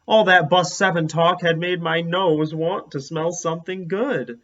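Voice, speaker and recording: A man reading a children's book aloud as an expressive storyteller. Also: on the high side, a little slow, highs cut off.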